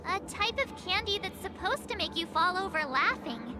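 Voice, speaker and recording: A woman's voice: very high voice, lively intonation, moderate pace. A woman voicing a cheerful, chirpy young girl adventurer in a video game. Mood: chatty. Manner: chirpy, giggly, sheepish. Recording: game dialogue over loud music.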